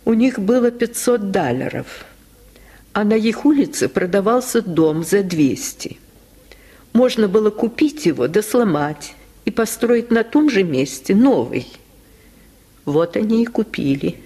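Female storyteller for a classic tale, mid-pitched, unhurried, theatrical, in a 1950s radio style. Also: husky. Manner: slow, expressive, theatrical delivery. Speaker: storyteller, actress reading a tale. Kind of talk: dramatic literary narration, 1950s radio storytelling. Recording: 1950s radio archive recording.

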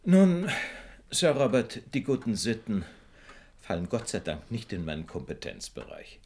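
A man acting in a radio drama as a shrewd, composed detective, speaking in a measured, polite, probing and drily ironic way. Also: lowish voice, huge pitch swings, warm tone, slight background noise, full character voice. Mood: dry irony. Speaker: actor in a radio play.

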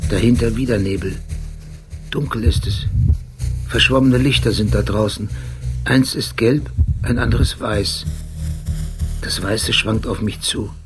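An actor playing an obsessive, brooding police detective in a radio drama monologue, speaking in a hushed, deliberate way. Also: male voice, medium pitch, natural voice, poor audio. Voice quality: gravelly. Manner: hushed, deliberate, often near whisper. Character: obsessive, brooding male police detective recalling details precisely. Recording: loud music under the voice.

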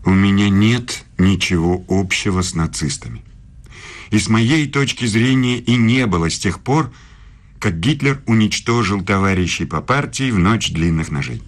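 An older actor with a deep, husky voice playing a proud, defensive German professor in a radio play, measured and self-justifying. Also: male voice, lively intonation, lightly acted. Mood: proud, stubborn.

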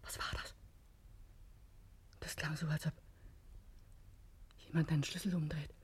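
An actress playing an anxious, frightened woman in a 1950s German radio play, hesitant and often hushed, on a noisy old recording. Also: low-pitched, little pitch movement, unhurried, in a whisper, full character voice. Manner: hushed, breathy, hesitant pleading. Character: frightened, anxious wife in a lonely manor.

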